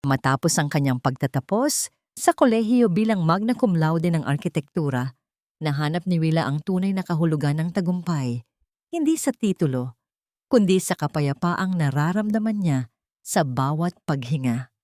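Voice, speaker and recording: Low-pitched Filipino woman narrating, close and dry. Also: animated, normal speed, a little mellow, no echo. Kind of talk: scripted storytelling narration of a romantic drama.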